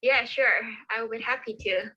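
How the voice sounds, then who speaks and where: Young woman, bright voice. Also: a little high, moderate pace, dull audio. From Macau. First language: Cantonese. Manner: careful, simple learner's English in short plain sentences. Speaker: student interviewee. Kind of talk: recorded class interview.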